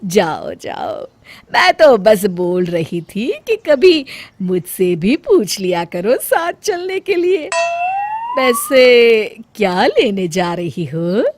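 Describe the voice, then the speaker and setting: An elderly mother-in-law acted out by a woman narrator in a comic storybook tale. She is vain and quick to take offence, nagging in drawn-out, theatrical phrases with big swoops in pitch. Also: big pitch swings, a little hiss or hum. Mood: nagging, coaxing. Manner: scolding, drawn-out, theatrical delivery.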